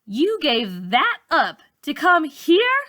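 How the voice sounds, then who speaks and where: A woman's voice: sits high, wildly swooping pitch, slow pace, pushed and bright, voice pushed up, full character voice. A woman voicing a blunt, nosy middle-school girl from a storybook, with informal, challenging questions. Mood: curious.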